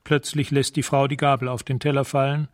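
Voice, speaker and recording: A man's voice: medium pitch, little pitch movement, dry recording, clean studio sound, close to an everyday voice. Actor as sober, mid-voiced male narrator. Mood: detached. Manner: sober, measured, observational. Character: detached male narrator describing scenes. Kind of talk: scripted radio drama narration.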